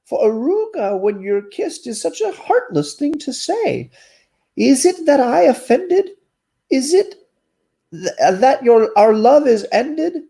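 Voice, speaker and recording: A besotted little storybook turtle pleads for love in a squeaky, sing-song falsetto. His wooing voice swoops up high yet stays soft and mellow. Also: very animated, takes it slowly, gravelly, full character voice. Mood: pleading. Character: lovesick pleading male turtle.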